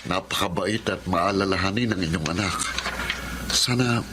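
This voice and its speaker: A man playing a soft-spoken, deep-voiced husband. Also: normal speed, background noise, natural voice. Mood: gentle. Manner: soft, melancholy. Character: humble grateful husband, gentle and sad. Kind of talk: radio drama acting. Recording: loud music bed behind.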